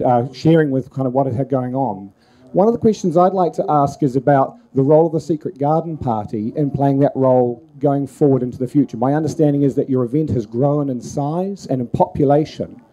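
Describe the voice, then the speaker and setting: A middle-aged man with a New Zealand accent addresses a forum from the audience. His voice is very dark and soft-edged, at an ordinary male pitch. He speaks into a handheld microphone. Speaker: audience member, council planner. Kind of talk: public comment at a forum. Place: large crowded festival tent.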